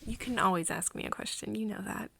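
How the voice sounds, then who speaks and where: A woman playing a caring, flustered young woman in an ASMR roleplay, with a soft, breathy voice and a tender, stammering manner. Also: medium pitch, brisk. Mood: gentle, sheepish. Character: caring, flustered young woman soothing her vampire friend.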